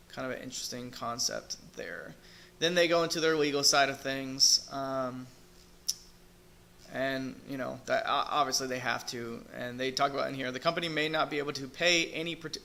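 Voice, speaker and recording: A man's voice: on the high side, pushed and bright. A man talking casually through a crypto project review, thinking aloud with lots of fillers while losing his voice. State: losing his voice, clearing throat. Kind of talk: casual screen-share review.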